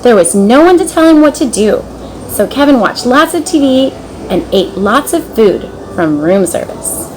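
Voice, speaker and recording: A woman narrating a children's storybook in an expressive, dramatic storytelling manner. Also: a little high, normal speed, a little hiss or hum, low-quality recording. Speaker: storybook reader.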